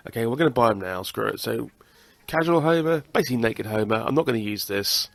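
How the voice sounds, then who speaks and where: A man's voice: medium pitch, expressive, fast talker, gravelly, no room sound. A young British man with a cold and a blocked nose, casually commentating a video game playthrough, chatty and swearing now and then. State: congested with a cold. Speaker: gaming channel host. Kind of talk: casual let's-play commentary.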